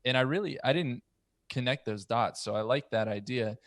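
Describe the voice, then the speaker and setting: A man in his thirties moderating a casual discussion as host, thoughtful and a little halting. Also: medium pitch, no room sound, studio quality. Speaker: podcast host. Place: home room on a group video call. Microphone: close podcast mic on a boom arm.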